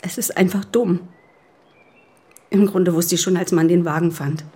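A woman playing a world-weary, bereaved fiancée in a German audio drama, with a husky voice. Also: on the low side, fairly even intonation, moderate pace, mellow. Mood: grieving, subdued.

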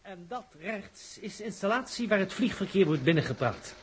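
A man's voice: high-pitched, sing-song, moderate pace. An actor playing a male television reporter commentating formally on a rocket launch in an old Dutch-language radio drama. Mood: formal, promotional. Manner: formal, explanatory announcing. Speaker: broadcast commentator. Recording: old radio recording, faint noise.

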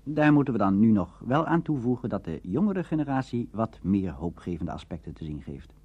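A dull, lo-fi radio recording carries a man narrating a scripted documentary. His voice is husky and his intonation moves widely as he presents. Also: mid-range voice, a little mellow.

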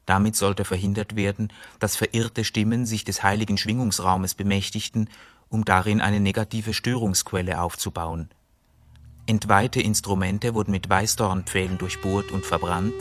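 A man reading a scripted mock-documentary lecture for a radio play in a measured, solemn, scholarly way. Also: fairly low pitch, lively intonation, no echo. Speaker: narrator of a radio show. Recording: loud music bed under the voice. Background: prominent instrumental music.

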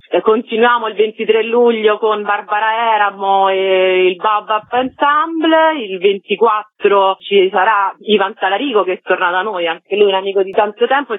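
A woman's voice: medium pitch, dry recording. Woman on a thin, dry phone line. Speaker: festival organizer, interview guest. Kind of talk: spoken event presentation in radio interview. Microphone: telephone call.

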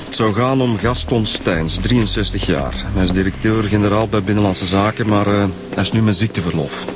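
A man's voice: lowish voice, normal speed, background noise, natural voice. A man acting in a Flemish radio drama as an easygoing junior police inspector, casual and matter-of-fact in his talk.

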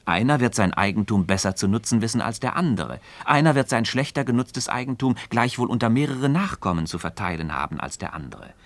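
An actor playing an earnest philosopher in a literary radio dialogue, speaking formally and didactically, building each point with emphasis. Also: male voice, fairly low pitch, expressive, a little fast, few pauses, dry recording. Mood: emphatic, reasoning. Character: earnest, insistent male philosopher leading a Socratic dialogue. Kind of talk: German literary radio play dialogue, formal stage diction.

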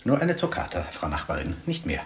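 Radio drama: fussy, snobbish male neighbour, animated pitch, formal, in an echoing, noisy space. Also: a little fast. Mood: huffy, courtly. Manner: stiffly formal, pompous. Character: pompous, fussily courteous male neighbour, music snob.

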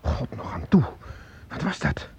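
An actor playing a grave senior policeman in a radio play, authoritative and measured. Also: mid-range voice, huge pitch swings, gravelly, exaggerated voice. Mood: tense, commanding. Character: grave senior police officer, steady and in charge. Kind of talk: radio drama acting. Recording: muffled, noisy old radio play recording.

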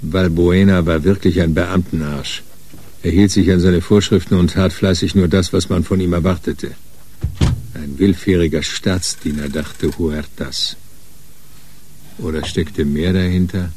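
A man's voice: low-pitched, fairly even intonation, unhurried, a little mellow, slight background noise. A man narrating a radio crime drama in a detached, wry, scripted style.

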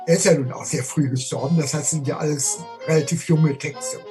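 Mid-pitched literature expert explaining in an interview. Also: steady tone, brisk, dark tone, faint music behind.

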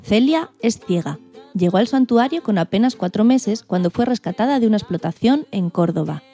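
A woman's voice: medium pitch, lively intonation, moderate pace, dark tone, faint music behind. A woman from co-hosting a radio programme, reading scripted narration. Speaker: radio show co-host.

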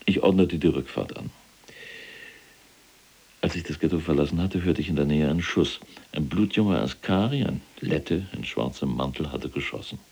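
An actor playing a proud, callous, imprisoned SS general recounting the war in a gravelly, husky, self-important military manner in a radio drama. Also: male voice, extremely low pitch, normal speed, a little mellow, full character voice. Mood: cold, boastful. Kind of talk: dramatized first-person war recollection.

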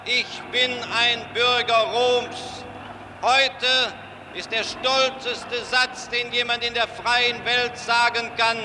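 A man interpreting a speech consecutively for a large outdoor crowd, slow and declamatory. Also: extremely high pitch, level tone. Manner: slow, declamatory, rising to shouts. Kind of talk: live consecutive interpreting at a rally. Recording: archival open-air rally, echoing, loud crowd noise.

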